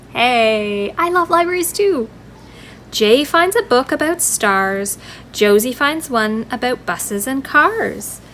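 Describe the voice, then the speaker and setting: A woman's voice: fairly high pitch, fairly bright tone, slight background noise. A woman hosting a children's library storytime, reading rhymes aloud expressively and addressing small children in a friendly, sing-song way. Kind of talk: expressive read-aloud of a rhyming picture book for young children.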